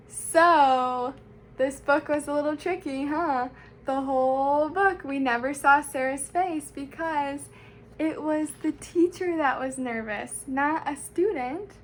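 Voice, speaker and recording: A woman with a high voice at the front of story time, narrating a picture book to small children. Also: measured pace, slight background noise. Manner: addresses young listeners with questions. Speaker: teacher reading aloud to children.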